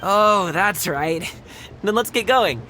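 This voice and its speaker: Game casting: friendly young male guard, upbeat and helpful, bright forceful tone pitched high. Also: lively intonation, slow pace, a little hiss or hum, full character voice. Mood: reassuring. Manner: upbeat, breezy, reassuring. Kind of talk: animated game dialogue.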